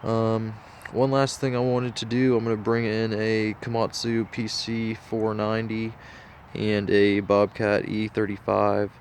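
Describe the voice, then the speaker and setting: Dude, nose all stuffed up, kinda low flat voice, rambly, lotta ums. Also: male voice, measured pace, slight background noise. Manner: laid-back, rambling with many fillers. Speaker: diecast model collector vlogger. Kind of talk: casual walkthrough talk.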